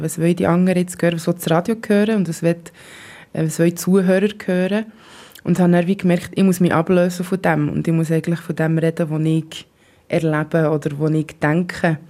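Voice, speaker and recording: A Swiss German woman in her thirties, a singer, gives thoughtful answers with pauses and fillers. Her voice sits a little low and sounds warm and mellow. She is interviewed in a basement rehearsal room. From Switzerland. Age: 30s.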